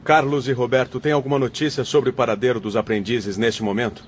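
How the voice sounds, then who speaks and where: An actor with a Brazilian accent playing a dignified, courteous spirit elder in a formal radio drama dialogue. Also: male voice, medium pitch, fairly even intonation, brisk, slight background noise, lightly acted. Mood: courteous admiration. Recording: dull audio, faint music behind.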